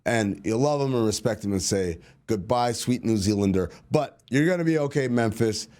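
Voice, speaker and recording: A man's voice: on the low side, expressive, moderate pace, darkish tone. A man co-hosting a basketball podcast, chatting casually and teasing his co-host while he explains team finances in plain terms. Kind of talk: casual sports chat.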